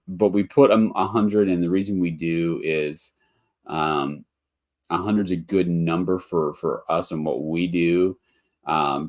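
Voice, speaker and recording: A man hosting a live webinar in a casual, rambling way, a bit nasal and congested from allergies, with lots of ums and restarts. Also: low voice. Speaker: webinar host, motel investor.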